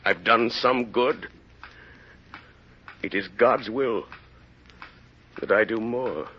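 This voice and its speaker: An actor playing a guilt-tormented ex-convict mayor in halting, brooding 1930s radio drama style. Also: male voice, fairly low pitch, a little hiss or hum. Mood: brooding, uneasy. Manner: halting, brooding soliloquy in short fragments. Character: guilt-tormented male ex-convict mayor wrestling with his conscience. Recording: muffled vintage 1930s radio recording.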